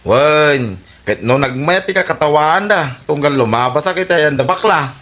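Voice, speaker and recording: A man's voice: fairly high pitch, animated, moderate pace, fairly bright tone. A man with an Ilocano accent playing a gossipy, insinuating buddy in a radio comedy drama. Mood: gossiping. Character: gossipy male buddy, stirring up suspicion.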